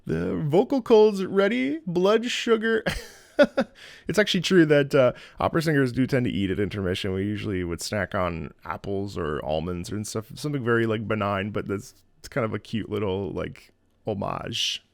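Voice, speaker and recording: Male opera-singer host, gravelly voice, dry close mic, eager, hums and scats along with the music. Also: lowish voice, normal speed, dry recording. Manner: enthusiastic, sings phrases along with the music. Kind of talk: casual music analysis.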